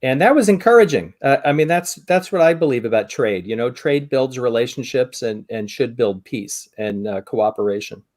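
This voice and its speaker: American guy in his 50s on a podcast video call, kinda wry, thinks out loud with pauses. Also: medium pitch, animated. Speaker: podcast co-host or guest. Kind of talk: conversational podcast discussion. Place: indoor room on a video call.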